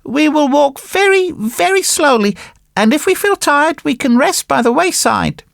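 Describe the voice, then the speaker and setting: A woman's voice: high voice, animated, measured pace, creaky, dry recording, clean studio sound, pitched above the performer's own voice, heavily acted. A woman voicing an earnest, polite wooden marionette boy in a storybook, speaking in formal, emphatic exclamations. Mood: grateful, caring.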